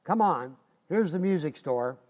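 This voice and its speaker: A man's voice: fairly high pitch, expressive, measured pace, darkish tone. A man acting a boastful, folksy husband in an old-time radio comedy. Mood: cocky, cheerful. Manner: folksy, slangy, bragging. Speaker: amateur actor in a community playhouse radio recreation.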